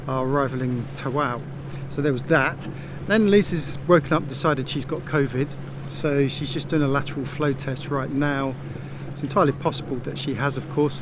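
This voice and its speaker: A middle-aged man chats in a rambling, easygoing way, with plenty of fillers, while filming himself at arm's length on the deck of a sailboat. His voice sits a little high for a man. Wind and sea noise come through clearly around him. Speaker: sailing vlogger. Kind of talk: casual vlog narration. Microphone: phone held at arm's length. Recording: wind and water noise outdoors. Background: wind, waves and boat noise.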